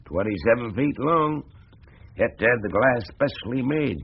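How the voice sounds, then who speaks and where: An actor playing a plain-spoken, aging ranch boss with a gruff, husky American voice in a radio drama. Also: male voice, medium pitch, lively intonation, normal speed. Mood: wistful, reflective. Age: older. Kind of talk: naturalistic radio drama acting. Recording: tinny narrow-band radio sound.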